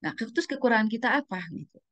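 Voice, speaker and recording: A woman's voice: on the high side, expressive, racing, no echo. A woman giving an informal training presentation as a communication trainer, instructive and conversational, often adding tag questions and mixing in English terms.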